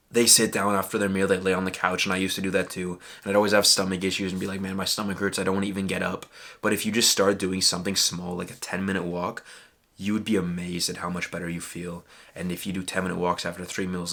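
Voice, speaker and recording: A man's voice: sits low, fairly bright tone. A young man giving casual, earnest motivational advice to camera as a fitness vlogger, emphatic and peppered with "bro" and "literally.".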